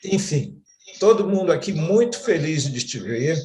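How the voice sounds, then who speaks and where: Brazilian interviewer, somewhat high voice, polite, reads viewer comments, roomy echo. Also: male voice, sing-song, measured pace, darkish tone. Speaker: interviewer, professor. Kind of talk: interview hosting.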